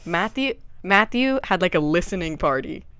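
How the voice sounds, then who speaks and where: Young woman rambling on a podcast, giggly, lots of "like", pitch all over the place, dry close sound. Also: animated, normal speed, a bit bright, smooth, no room sound. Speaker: podcast co-host. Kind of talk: casual chat. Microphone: headphones and mic.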